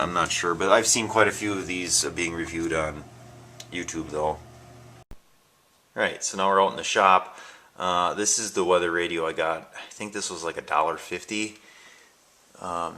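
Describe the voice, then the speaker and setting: An American man with a very low, forceful voice talks casually through a gadget demo at home. He is hesitant and thinks aloud as he goes. The recording is amateur, with faint noise and small clicks. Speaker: amateur video maker showing his gadgets. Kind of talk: casual home show-and-tell. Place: indoors at home and in a workshop. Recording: noisy amateur home video with handling clicks.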